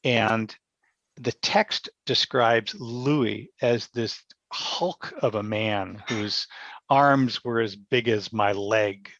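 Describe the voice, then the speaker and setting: A man's voice: fairly low pitch, drawn-out words, fairly bright tone, no echo, highs cut off. A man giving conversational, explanatory interview answers as a theatre director, often repeating and rephrasing himself. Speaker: radio theatre director, podcast guest.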